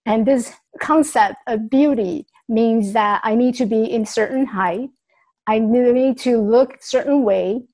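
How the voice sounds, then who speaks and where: Woman, raised in Taiwan, earnest reflective storytelling, higher voice, small grammar slips. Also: slow pace, a little mellow. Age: adult. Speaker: speaker sharing her life story. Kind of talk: personal storytelling talk.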